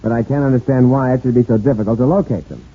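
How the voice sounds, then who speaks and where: An actor playing a defensive, self-assured contractor under suspicion, in 1940s radio drama style. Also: male voice, mid-range voice, fast, close to an everyday voice. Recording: muffled, noisy 1940s radio recording.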